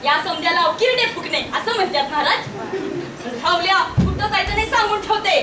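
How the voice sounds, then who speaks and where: A woman playing a bossy, sharp-tongued rustic elder sister in a stage play. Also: very high voice, expressive, a little fast, a crowd in the background. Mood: scolding. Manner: sharp-tongued, interrogating, teasing. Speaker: stage actor. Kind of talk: amateur one-act stage drama in rustic dialect. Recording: noisy echoey stage, picked up from far off.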